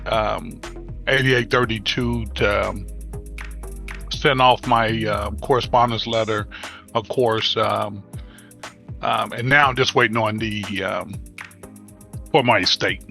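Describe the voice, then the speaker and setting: A man giving a halting, casual progress update as a caller on a group call. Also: fairly low pitch, fairly even intonation, creaky, bright, forceful tone, soft background music. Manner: halting, heavy with hesitations. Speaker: caller, course student. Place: online call.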